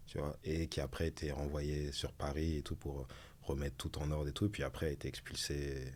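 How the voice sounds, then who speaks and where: A man's voice: very deep voice, level tone, darkish tone. A man hosting a round-table podcast, talking casually with loose restarts. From Antilles. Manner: quick, loose talk with many restarts. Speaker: podcast host.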